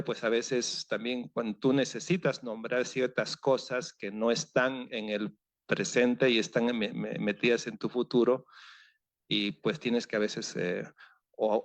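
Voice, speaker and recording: A man's voice: mid-range voice, dry recording. A novelist on a seminar panel, a man thinking aloud with many fillers and restarts. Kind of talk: panel discussion.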